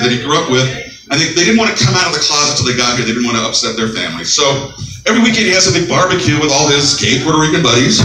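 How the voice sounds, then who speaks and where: A deep-voiced man in his fifties doing a stand-up comedy set, wry and deadpan on the punchlines with animated asides. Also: roomy sound, recorded from a distance, slight background noise, low-quality recording. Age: 50s. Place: small coffeehouse, live audience.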